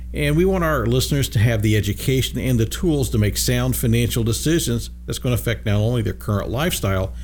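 A man's voice: medium pitch, darkish tone, a little hiss or hum. A man hosting a financial radio show from a studio, chatting casually with many fillers and restarts. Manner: easygoing, frequent fillers and restarts.

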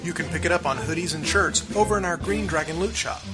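A man's voice: fairly high pitch, fast. A man hosting a podcast, reading scripted outro announcements in an upbeat, announcer-like way. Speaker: podcast host. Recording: music playing behind. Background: music.

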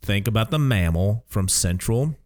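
A man's voice: on the low side, expressive, moderate pace, darkish tone. A man co-hosting a radio show in a conversational, part-scripted style, with a jokey, emphatic manner.

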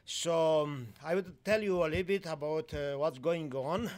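A Romanian-born man speaking in halting, broken English with many fillers, giving a sermon testimony in a church. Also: fairly high pitch. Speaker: guest preacher.

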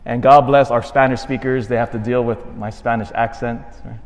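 A man, a priest, giving a homily with a storytelling manner, dropping to a hush and rising to animated emphasis. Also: mid-range voice, normal speed. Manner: storytelling, swings from hushed to animated. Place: church. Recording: long reverb of a church.

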